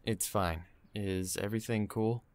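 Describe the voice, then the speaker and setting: A man's voice: fairly low pitch, steady tone, unhurried. An actor playing a moody, apologetic young man in an audio drama, subdued in manner. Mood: remorseful. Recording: long reverb, faint noise.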